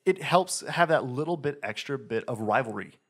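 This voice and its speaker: A man's voice: mid-range voice, lively intonation, moderate pace. A man co-hosting a podcast, reviewing a game in casual chat, talking in a rambling way with lots of fillers and restarts. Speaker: podcast co-host.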